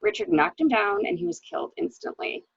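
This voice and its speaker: A woman's voice: sits high, very animated, a little fast, gravelly, fairly bright tone, dry recording, exaggerated voice. A woman in a dramatic mystery reading, playing an anxious, hesitant widow, on a dull home recording. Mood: somber, recounting. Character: anxious, hesitant new widow recounting her husband's misdeeds. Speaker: library staff reader.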